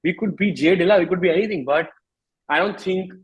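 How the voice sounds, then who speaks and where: Reflective Indian-accented man, slightly husky. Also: sits high. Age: 30s. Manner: reflective, halting, rephrasing often. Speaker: musician interview guest. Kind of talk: candid conversational interview.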